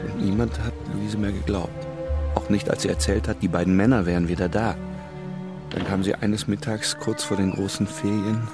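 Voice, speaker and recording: Radio drama: man playing haunted, bitter cop. Low register, deliberate short phrases, scored with music. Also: steady tone, natural voice. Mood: grieving, bitter. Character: grim, embittered policeman haunted by his sister's fate. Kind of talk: German radio drama acting, intimate confession.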